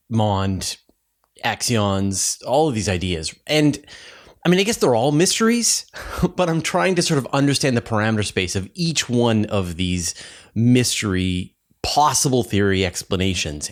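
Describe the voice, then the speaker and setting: A man hosting a conversational science interview, inquisitive and thinking aloud as he rephrases things for a lay audience. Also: mid-range voice. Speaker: interview host.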